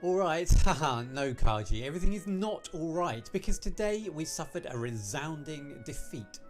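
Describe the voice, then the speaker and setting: A man voicing a dramatic, fiercely competitive kitchen woman in a visual novel, speaking gravely and emphatically. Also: a little high, lively intonation, normal speed, faint music behind, pitched above the performer's own voice, lightly acted. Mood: grave despair.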